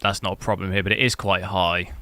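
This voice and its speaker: A man streaming a game, chatting casually with rambling, halting restarts. His voice is bright and forceful, set a little low. The sound is dry and close. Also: level tone, no echo. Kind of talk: casual live stream chat.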